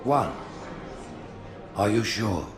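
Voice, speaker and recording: An actor playing a commanding, calculating alien religious ruler in a video game, speaking with stately, solemn authority. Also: male voice, lowish voice, big pitch swings, drawn-out words, full character voice. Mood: probing. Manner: stately, solemn, pronouncing judgment. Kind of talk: video game cutscene acting.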